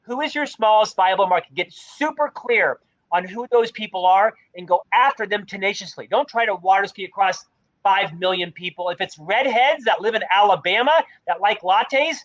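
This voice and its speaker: A man's voice: high-pitched, moderate pace, dull audio. A middle-aged man who has a stutter, telling stories energetically as a guest in an interview. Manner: energetic storytelling with a mild stutter. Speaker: podcast guest, leadership author. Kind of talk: conversational interview.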